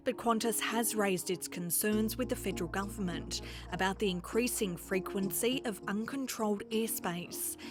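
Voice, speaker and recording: Australian lady doing news voiceover, steady and clear, music underneath. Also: medium pitch. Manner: measured, clear delivery. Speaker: reporter. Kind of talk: scripted news narration. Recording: voice-over with music bed.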